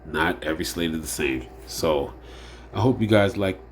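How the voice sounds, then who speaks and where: A man's voice: sits low, normal speed, slight background noise. A man hosting a casual solo fantasy sports preview. He sounds nasal and a bit under the weather, and he rambles with lots of fillers and repeated phrases. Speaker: fantasy sports show host.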